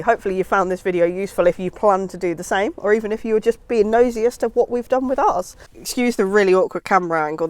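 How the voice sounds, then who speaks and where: A woman's voice: on the low side, no echo. A British woman giving a casual how-to chat as a vlog presenter inside a car.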